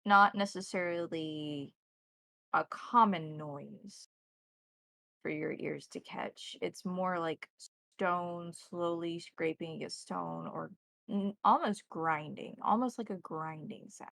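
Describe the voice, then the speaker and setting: Creaky-voiced woman running a game. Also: lowish voice, takes it slowly, fairly bright tone, no echo. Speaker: game master. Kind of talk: narrating a tabletop roleplaying game with casual banter.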